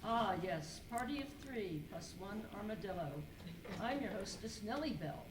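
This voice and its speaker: A woman's voice: mid-range voice, lively intonation, normal speed, natural voice. A woman playing a polite, eager cowgirl servant in an amateur radio skit. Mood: polite, welcoming. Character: polite eager cowgirl dining room hostess and housekeeper. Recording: noisy echoing room, distant mic.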